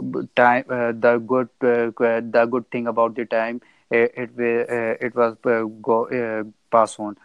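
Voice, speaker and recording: A polite young man in his twenties who talks haltingly, with many restarts, on a video call. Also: mid-range voice, smooth, no room sound, low-quality recording. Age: 20s. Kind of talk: casual conversation.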